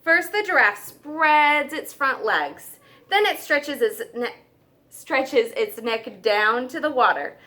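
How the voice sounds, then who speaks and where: A woman teacher reading a nonfiction book aloud to children, stressing key words and pausing for simple questions and explanations. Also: high-pitched, lively intonation, bright, forceful tone.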